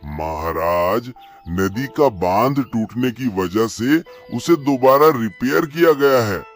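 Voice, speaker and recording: Man playing a sly fox with medium-pitched voice. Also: expressive, slow, soft background music. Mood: deferential. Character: sly, greedy fox, deferential royal minister of the jungle. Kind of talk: cartoon story character acting.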